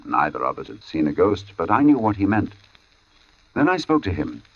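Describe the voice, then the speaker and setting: An actor playing an uneasy artist who gravely recounts an eerie encounter in a 1940s-style radio drama. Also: male voice, low voice, sing-song, normal speed, full character voice. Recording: dull old radio recording with music.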